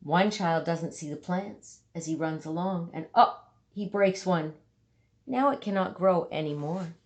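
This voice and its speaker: A woman reading a children's picture book aloud, with dramatic emphasis and deliberate pauses for young listeners. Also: fairly low pitch. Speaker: storybook reader.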